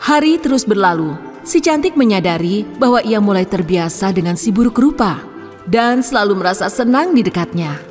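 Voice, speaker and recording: A woman's voice: medium pitch, lively intonation, normal speed, darkish tone, long reverb tail, soft background music. A woman narrating a scripted fairy tale for children as storyteller.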